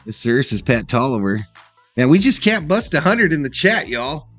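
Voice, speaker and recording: A man's voice: medium pitch, big pitch swings, normal speed, a bit bright, soft background music. A man hosting a live sports stream, casually calling plays and chatting with viewers in an informal, laid-back way. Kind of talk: casual fan play-by-play and chat reading.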